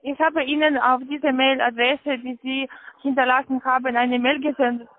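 A woman with a foreign accent, not a native German speaker, giving halting, insistent instructions as a call-centre caller. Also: sits high, little pitch movement, dry recording. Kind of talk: scripted phone instructions, spelling out slowly. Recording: tinny, narrow-band phone line.

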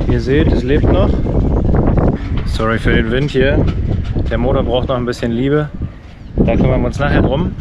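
A man's voice: mid-range voice, lo-fi sound, heavy background noise. A man in his thirties talking casually to an action camera he holds at arm's length, showing his sailboat after months away. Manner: relaxed, chatty, thinking aloud. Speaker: sailing vlogger. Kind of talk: casual vlog narration. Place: inside a sailboat cabin, later outdoors at a boatyard. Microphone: action camera held at arm's length. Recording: background music throughout.

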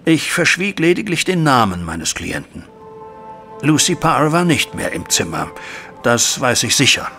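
An actor playing a troubled middle-aged banker, a widowed father, recounting events formally and deliberately in a German audio drama. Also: medium pitch, sing-song, bright, forceful tone, full character voice. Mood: grave, agitated. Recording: music bed behind voice.

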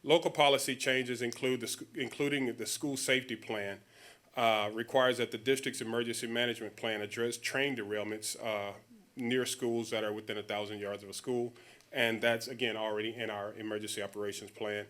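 A man presenting as a school district administrator at a board meeting, speaking in a measured, procedural way. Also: medium pitch, fairly even intonation, a bit bright. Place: public board meeting room.

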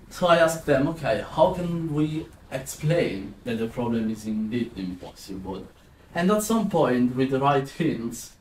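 A man in his twenties from southern Italy, with an Italian accent, presenting as a maths teacher explaining to a class. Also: mid-range voice. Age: 20s. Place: indoor classroom with whiteboard and screen. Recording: roomy echo, distant, some background noise.

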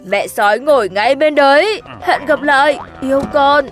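A woman voicing an earnest, resourceful lion in a children's cartoon, with an expressive, singsong rhyming delivery. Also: high voice, normal speed, close to an everyday voice. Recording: light music behind the voice.

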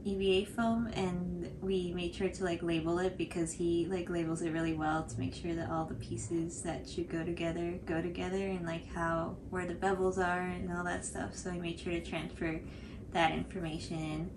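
A woman's voice: lowish voice, little pitch movement, moderate pace, background noise. A woman in her twenties with an American accent, co-hosting a craft tutorial in a casual, chatty style. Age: 20s. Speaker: craft video co-host. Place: small indoor room at home, on a couch.

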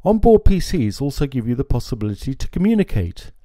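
Man with a lively, warm voice. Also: mid-range voice, a little fast, no room sound, clean studio sound. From France. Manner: steady, informative, gestures at screens. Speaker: marine electronics presenter. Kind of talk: scripted product explainer. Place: small indoor showroom. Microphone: lapel mic.

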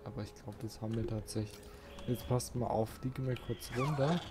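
A man's voice: medium pitch, steady tone, brisk, background noise. A man giving casual gameplay commentary, musing informally to himself and his viewers as a let's play host.

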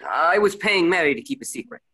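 Man playing defensive male governor, raspy, tense, swinging pitch, amateur radio play. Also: animated, a little fast, sharp tone. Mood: guilty, defensive.

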